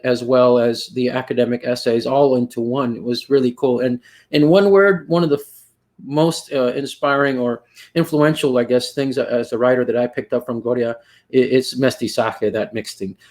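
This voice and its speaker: A man's voice: mid-range voice, a little mellow. A man in his thirties with a South Texas accent, hosting a video-call panel and talking in rambling, self-correcting turns with Spanish words mixed in. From Rio Grande Valley, South Texas. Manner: rambling, many restarts, mixes Spanish words. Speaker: panel host. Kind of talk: casual panel discussion. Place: home room. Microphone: headset on video call.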